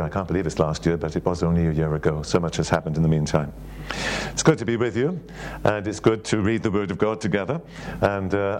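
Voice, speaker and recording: An older man with a Welsh accent giving a sermon, reading scripture in a gentle, unhurried way with frequent pauses and fillers. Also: sits low, slight background noise. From Wales. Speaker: church secretary giving a sermon.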